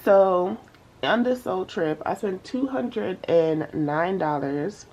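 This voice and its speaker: Young woman, stuffy nose, low gravelly voice, husky, slangy chatty vlog talk. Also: lively intonation, slow, darkish tone. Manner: chatty, slangy, addresses viewers as y'all. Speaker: budgeting vlogger. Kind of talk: casual chat to camera.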